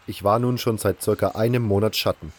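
A man playing a fantasy convict who recounts camp life in measured, matter-of-fact first-person audio drama narration. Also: fairly low pitch, fairly even intonation, natural voice. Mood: matter-of-fact recollection.

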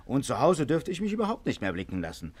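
A blustering, swooping police commissioner. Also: male voice, medium pitch, fast talker, breathy edge. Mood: flustered horror. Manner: excitable, theatrical. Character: blustering, easily rattled male police commissioner. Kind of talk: 1950s German satirical radio drama acting.

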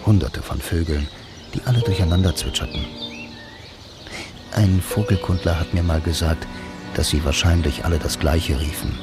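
Man acting a low-voiced brooding noir narrator. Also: normal speed, pauses often, lightly acted. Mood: pensive. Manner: terse, lyrical sentences. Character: blind film-noir loner, brooding, dreamy narrator. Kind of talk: first-person radio drama narration. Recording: music under the voice. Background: music.

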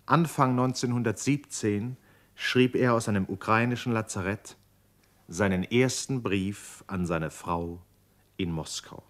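Deep-voiced male radio drama narrator. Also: measured pace, a bit bright.

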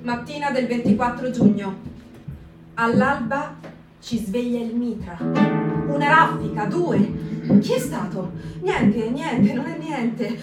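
A woman's voice: on the high side, expressive, slow, loud music behind the voice. A woman giving a performed literary reading in a clipped, tense narrating voice. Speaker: reader. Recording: roomy live stage, distant mic.

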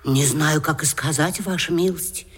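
A woman's voice: low voice, unhurried, husky, exaggerated voice. Folksy, pious common woman. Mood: confiding, deferential. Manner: folksy, deferential, sighing prayers. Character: pious, plain-spoken peasant-like common woman, lamenting. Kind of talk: period radio drama acting. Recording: radio drama with faint music behind.

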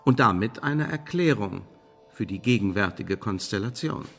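An actor playing a calculating secret service chief in a radio drama, formal, controlled and insinuating. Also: male voice, on the low side, lively intonation, normal speed, vocal fry, husky, big-room sound, soft background music, heavily acted.

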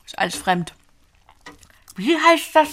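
A woman's voice: high voice, very animated, slow pace, a bit bright, exaggerated voice. An actress in a German audio drama playing a curious, plain farm woman who speaks in short, simple phrases.

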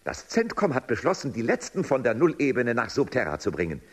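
Husky, smug regime official, swooping intonation. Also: male voice, medium pitch, wildly swooping pitch, fairly quick. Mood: smug, condescending. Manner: smooth-mannered, patronising, commanding. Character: smug, coldly courteous male official of underground regime. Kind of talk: German radio drama acting.

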